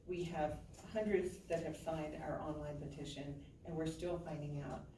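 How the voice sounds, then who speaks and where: A woman's voice: low-pitched, fairly even intonation, normal speed. A woman hosting a community meeting, casual and upbeat with many fillers. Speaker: meeting host. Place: community center meeting hall. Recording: echoey hall, far from the mic, background noise.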